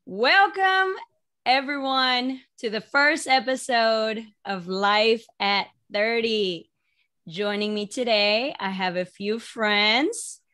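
A woman's voice: a little high, slow pace, pushed and bright. Woman in her thirties, upbeat host leading a casual group chat. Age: 30s. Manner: upbeat, cheers guests on. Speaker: podcast host.

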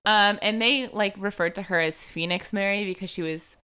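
A woman's voice: mid-range voice, normal speed, pushed and bright, clean tone. A young woman hosting a true-crime podcast, telling a case in a casual chatty way, full of "like" and "um" fillers. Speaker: podcast host.

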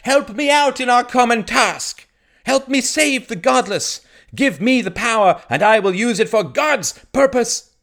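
A man's voice: extremely high pitch, lively intonation, measured pace, a bit bright, voice pushed up, exaggerated voice. A man voicing a fervent village priest who preaches in an impassioned, rhetorical manner. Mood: fervent preaching. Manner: impassioned, sermonizing, rhetorical questions. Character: fiery preaching village priest, male, middle-aged.

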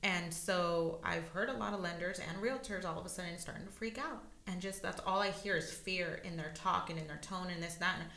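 A woman's voice: fairly low pitch, a little fast, creaky, hardly any pauses, fairly bright tone. A woman hosting an interview, encouraging and affirming her guest. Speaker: podcast host. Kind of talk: casual interview. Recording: echoey room.

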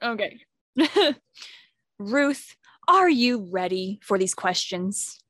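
A woman's voice: medium pitch, sing-song, drawn-out words, a bit bright, no echo, studio quality. A woman hosting a podcast, chatting in an upbeat, encouraging way and laughing easily. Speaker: podcast host. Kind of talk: casual chat.

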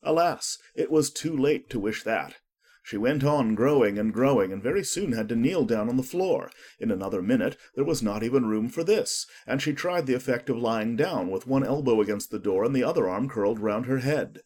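A man's voice: mid-range voice, animated, normal speed, a little mellow. A man narrating a children's storybook as a scripted audiobook reading.

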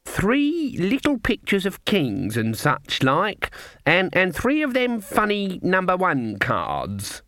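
A man voicing an old country witch who feigns naive eagerness in a fluttery, halting way, as an audiobook character. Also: high-pitched, wildly swooping pitch, fairly bright tone, no echo, voice pushed up, full character voice. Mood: flustered delight. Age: older.

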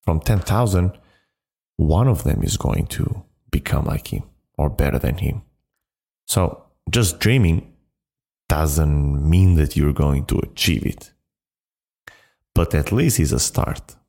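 A man hosting a solo motivational podcast, speaking in a halting, repetitive and emphatic way. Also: rumbling low, gravelly, a little mellow. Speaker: podcast host.

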